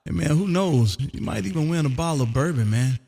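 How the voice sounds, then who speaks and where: A man's voice: fairly high pitch, animated, gravelly, warm tone, slightly rough. A man from Texas hosting an amateur radio live stream, making calls and chatting casually in a folksy showman's way. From Texas, United States. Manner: folksy showman, drawn-out call signs, peppered with "man". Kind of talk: ham radio contact calls and casual chat. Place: home radio room. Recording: long hall-like reverb.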